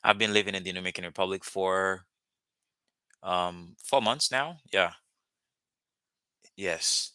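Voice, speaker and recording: A young Haitian man with a Haitian accent, a native Haitian Creole speaker, teaching a live online language lesson and patiently repeating words slowly for his learners. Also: sits low, sharp tone, smooth, dry recording.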